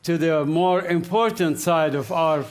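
A man from the Gaza Strip giving a church testimony in short phrases, pausing for an interpreter. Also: a little high. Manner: speaks in short phrases with pauses for translation. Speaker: guest speaker. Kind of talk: testimony talk with live interpreter.

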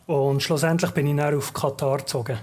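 A man's voice: on the high side, level tone, darkish tone. Man interpreting in church. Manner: quick rendering, occasional restarts. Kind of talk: live consecutive interpreting.

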